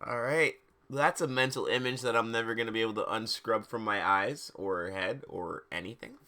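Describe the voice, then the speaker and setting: A man's voice: lowish voice, normal speed, pushed and bright. A man hosting a livestream dramatic reading, mocking the text in incredulous, exaggerated asides. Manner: theatrical, incredulous, exaggerated emphasis. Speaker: livestream host reading fan fiction aloud.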